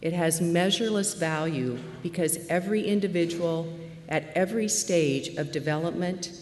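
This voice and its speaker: A woman in her forties speaks softly and earnestly as a deaconess addressing a conference. Her low voice rings through a large, echoey hall, with a faint murmur of room ambience behind her. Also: moderate pace, a little hiss or hum. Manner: soft-spoken, earnest, with some fillers. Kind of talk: conference talk.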